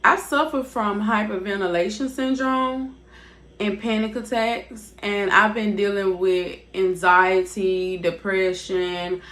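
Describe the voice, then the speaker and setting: A woman's voice: medium pitch, steady tone, slow pace, fairly bright tone. A young woman in her mid-twenties talks straight to her viewers in a casual, unscripted way. She rambles, circles back and leans on fillers as she goes. Her voice is picked up by a device's built-in mic instead of a proper microphone. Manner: casual, rambling, repeats herself, leans on "basically" and "like". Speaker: vlogger. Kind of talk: candid personal vlog talk. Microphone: device's built-in mic, not her usual microphone.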